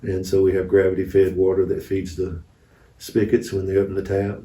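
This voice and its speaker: A man's voice: low-pitched, monotone, fairly quick, dark tone. A man telling mission stories conversationally as an interview guest, in a folksy, anecdotal manner. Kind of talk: conversational storytelling. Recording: echoey room, distant mic.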